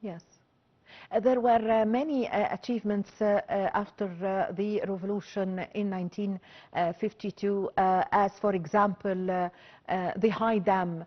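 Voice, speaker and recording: A slightly dull recording of a woman. She hosts a formal interview in a mid-pitched voice, feeling her way through each sentence with many hesitations. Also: fairly even intonation. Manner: very hesitant, halting delivery. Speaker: broadcast interviewer, host.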